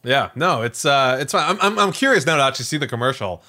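Animated, bright-voiced man chatting casually. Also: mid-range voice, quick pace. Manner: animated, acts out imagined quotes. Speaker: podcast co-host. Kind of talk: casual tech chat.

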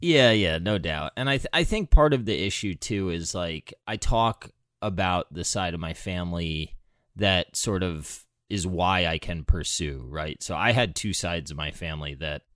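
A man's voice: low-pitched, expressive, moderate pace, a bit bright, no echo, studio quality. An American man hosting a podcast, chatting informally and candidly. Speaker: podcast host. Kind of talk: casual chat.

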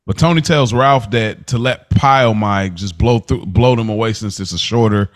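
A man with a low voice, co-hosting a casual podcast. He recaps scenes at an easy pace and drops quick asides as he goes. His delivery stays relaxed and conversational. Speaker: podcast co-host.

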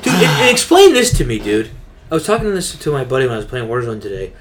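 Animated, exasperated man ranting casually. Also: mid-range voice, fast. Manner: exasperated, slangy, emphatic. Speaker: podcast co-host. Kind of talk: casual gaming podcast rant.

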